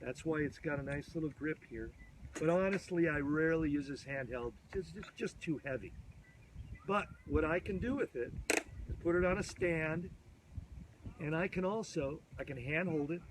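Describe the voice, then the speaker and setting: A man in his fifties, a bit hoarse from a cold, giving an enthusiastic, rambling gear tutorial outdoors on a field, filmed on a phone at arm's length. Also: on the high side, normal speed, slight background noise, low-quality recording. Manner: chatty, enthusiastic, rambling with restarts. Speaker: photography instructor. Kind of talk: casual gear tutorial to camera. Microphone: phone or camera at arm's length.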